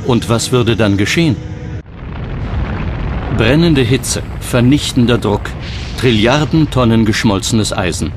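A man's voice: medium pitch, expressive, unhurried, long pauses. Male documentary narrator over background music.

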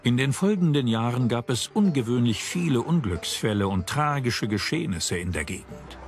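A man's voice: medium pitch, animated, moderate pace. A man reading scripted narration for a documentary as its narrator. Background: background music.

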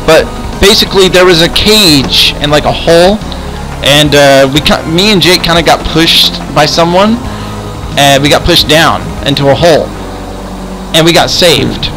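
A man's voice: a little high, moderate pace, over music, natural voice, poor audio. A man playing a friendly adventurer in an improvised Minecraft roleplay, hesitant and often repeating himself. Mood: explaining, curious. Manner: hesitant, repetitive, stammering delivery. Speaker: roleplay video host and player.

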